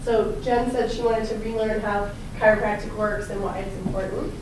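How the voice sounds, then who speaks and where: Raspy-voiced chiropractor woman in an echoey gym. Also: medium pitch, fairly even intonation, normal speed. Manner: chatty and upbeat, asks the audience questions. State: slightly hoarse from talking a lot. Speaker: chiropractor giving a talk. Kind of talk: informal health talk to a small group. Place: personal training gym, indoors. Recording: echoey room, distant mic, background noise.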